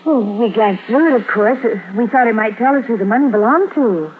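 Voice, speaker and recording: An actress playing an earnest, anxious wife who urges and persuades, in 1950s radio drama style. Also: female voice, fairly high pitch, expressive, brisk, narrow, tinny audio, natural voice. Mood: nervous, guarded. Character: anxious, insistent, upright young-sounding wife. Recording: thin, noisy 1950s radio recording.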